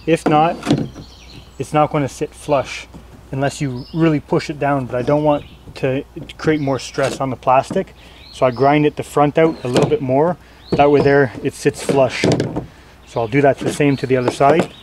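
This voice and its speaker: A man's voice: mid-range voice, fairly even intonation, normal speed, noisy background. Man explaining a DIY job outdoors. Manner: plain, loose, with fillers and self-corrections. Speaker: DIY instructor. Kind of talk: casual how-to explanation. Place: outdoors on a lawn.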